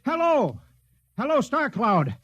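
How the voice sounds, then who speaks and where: An actor playing an alert radio sergeant at an Earth base in a 1950s radio drama. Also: male voice, very high-pitched, huge pitch swings, slow, fairly bright tone, heavily acted. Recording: dull old recording with faint hiss.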